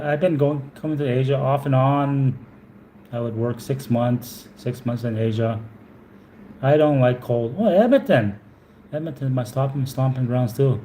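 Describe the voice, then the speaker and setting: A man's voice: mid-range voice, warm tone, slight background noise, rough recording. A man from Alberta with a Canadian accent, chatting casually on a live stream, answering viewers and repeating his words as he rambles.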